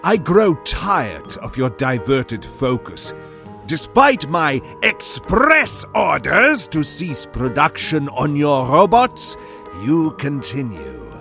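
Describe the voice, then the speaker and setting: Slow, creaky, menacing villain commander. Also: male voice, fairly high pitch, huge pitch swings, pushed and bright, heavily acted. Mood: cold contempt. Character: menacing Nazi villain commander, cold and imperious. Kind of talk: cinematic audio drama acting. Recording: dramatic music bed under voice.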